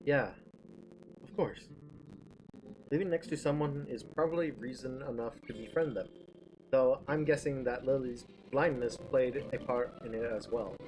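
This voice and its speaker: A man narrating a first-person story aloud, reading haltingly with small stumbles. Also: mid-range voice. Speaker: streamer reading a visual novel. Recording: clearly noisy background.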